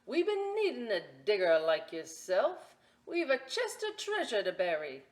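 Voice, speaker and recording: Lady doing a big loud pirate captain, high and slow, all drawn-out pirate talk, storybook style. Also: huge pitch swings. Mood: bellowing. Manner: exaggerated pirate talk, drawn-out bellowing lines. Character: boisterous male pirate captain, loud and swaggering.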